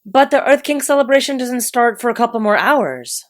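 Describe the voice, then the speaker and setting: A woman playing a cheerful, earnest young boy hero in an animated comic dub. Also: on the high side, fairly quick, lightly acted. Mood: flustered.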